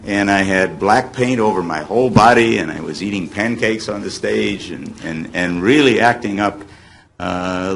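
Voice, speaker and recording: A man's voice: on the low side, creaky, a little hiss or hum. An American man in his seventies, reminiscing casually as a guest on a panel. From United States, Illinois. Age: 70s. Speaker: guest panelist, former child radio actor. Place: hotel meeting room at a convention. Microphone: table microphone on a stand.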